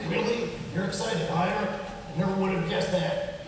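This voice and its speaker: A distant, heavily echoing recording of a wisecracking puppet guy played by a man. He talks high for a man, slangy and skeptical, with a sarcastic edge suited to a children's puppet show. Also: fairly quick, lightly acted. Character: sardonic, gruff-minded, wisecracking male puppet. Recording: echoey hall from far off, faint noise.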